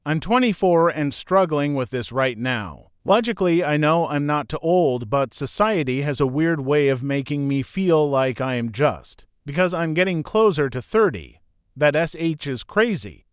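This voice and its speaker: Male narrator for read-aloud forum posts; smooth, slightly high voice, dry close recording. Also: lively intonation, moderate pace, no room sound. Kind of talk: scripted narration.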